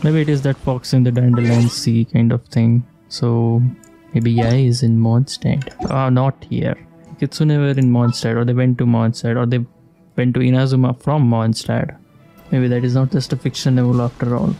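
Male gamer, casual reactive commentary, mid-pitched and mellow, thinking out loud over game music. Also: flat delivery, moderate pace, vocal fry. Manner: musing aloud, short reactive remarks. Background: video game music.

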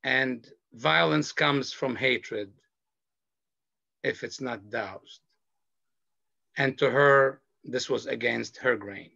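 A middle-aged man from Lebanon, a panelist sharing a personal story in a slow, earnest, measured way over a video call. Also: medium pitch, vocal fry, sharp tone, dry recording. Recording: dull video call audio.

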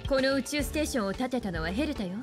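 A woman's voice: high-pitched, lively intonation, quick pace, over music. An actress playing a composed, assured woman who mentors a space crew in a Japanese anime game.

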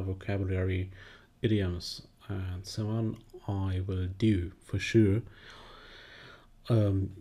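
Non-native English-speaking man, low gravelly voice, halting delivery, commentary vlog host. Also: mellow. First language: not English. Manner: halting, many fillers and restarts. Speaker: video commentator. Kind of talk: casual spoken commentary.

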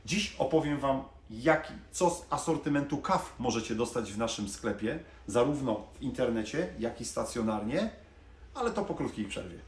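A man, chatting casually to camera as a salesman in a coffee machine showroom, pausing with small hesitations as he points things out. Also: mid-range voice, lively intonation. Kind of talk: casual product presentation to camera. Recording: echoey showroom with faint room noise.

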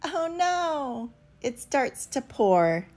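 A woman's voice: high voice, sing-song, very slow, darkish tone. A woman reading a picture book aloud expressively for young children, as a storyteller. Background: background music.

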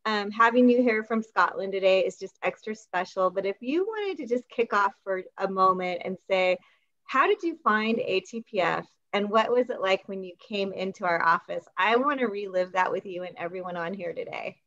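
A woman's voice: medium pitch, normal speed, dull audio. Earnest middle-aged woman hosting a call. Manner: warm, earnest, grateful. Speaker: host. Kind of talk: hosting a video meeting. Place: home room indoors. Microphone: laptop webcam on video call.

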